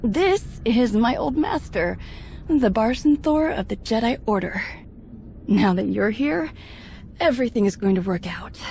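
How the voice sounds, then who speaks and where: High-voiced earnest young Jedi woman. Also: expressive, a little slow, creaky, a little mellow, a little hiss or hum. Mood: awed, relieved. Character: earnest young female Jedi, devoted former apprentice. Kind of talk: dramatic video game acting.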